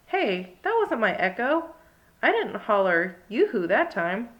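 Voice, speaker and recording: A woman's voice: medium pitch, very animated, a little slow, highs cut off. A woman voicing a big, friendly, curious childlike bird while reading a children's storybook aloud. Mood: puzzled.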